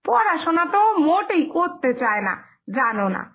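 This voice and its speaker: A woman's voice: very high voice, sing-song, moderate pace, fairly bright tone, full character voice. A woman voicing a fretful village mother in a cartoon moral story, lamenting and admonishing in an exasperated way. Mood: worried.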